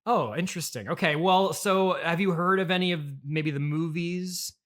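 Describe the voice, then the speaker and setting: A man hosting a casual comedy interview in a halting, stammering, agreeable way. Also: a little high, fairly bright tone. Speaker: interviewer and host.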